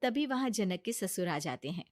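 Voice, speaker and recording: A woman's voice: mid-range voice, animated, moderate pace, dry recording, studio quality. A woman narrating a scripted family story as storyteller.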